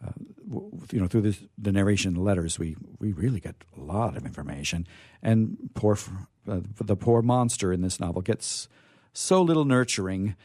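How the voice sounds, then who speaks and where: A man hosting a casual literary discussion, explaining things in a halting, hesitant way. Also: lowish voice, animated, darkish tone, no echo, studio quality. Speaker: host.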